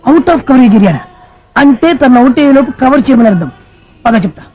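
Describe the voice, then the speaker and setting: A man playing an excitable, bumbling, boastful sidekick in an Indian film comedy, fussy and over-dramatic. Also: extremely high pitch, fairly quick, highs cut off, faint music behind. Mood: bossy, excited. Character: excitable bumbling comic sidekick, eager to help. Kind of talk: Telugu film comedy acting.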